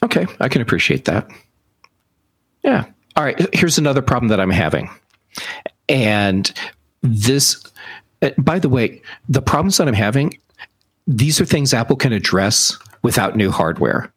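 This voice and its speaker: A man chatting casually as a tech podcast co-host, explaining things deliberately with pauses. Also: mid-range voice, sing-song, breathy edge, dry recording.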